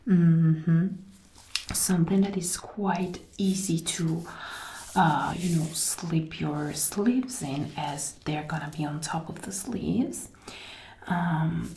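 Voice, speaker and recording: A woman doing a gentle, attentive ASMR roleplay as an outfit designer, soft-spoken and often whispering, breathy and close to a binaural mic. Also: on the low side, roomy sound, a little hiss or hum. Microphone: close binaural microphone, ear to ear.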